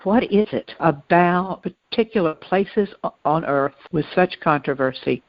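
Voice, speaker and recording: A dry, somewhat muffled podcast recording of a woman co-host. She talks reflectively and earnestly, choosing her phrases deliberately and often haltingly, in a conversational discussion. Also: fairly low pitch, no room sound.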